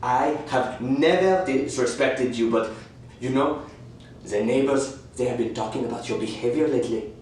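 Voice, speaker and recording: A man playing a smug, manipulative houseguest in a radio thriller, measured, falsely reasonable and condescending. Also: mid-range voice, darkish tone, close to an everyday voice. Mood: aggrieved, accusing. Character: smug, manipulative male houseguest who refuses to leave. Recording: roomy, distant, faint background noise.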